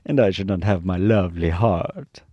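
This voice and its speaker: A man voicing a gentle, thoughtful tin man, close to his own voice. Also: sits low, moderate pace, no room sound, lo-fi sound. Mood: fond.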